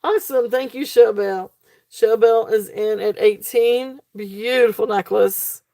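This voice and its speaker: Woman, live sale host, mellow gravelly voice going hoarse, chatty with plenty of fillers. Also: on the high side, a little slow. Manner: chatty, fillers, reads comments aloud. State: losing her voice. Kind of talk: live auction selling chat.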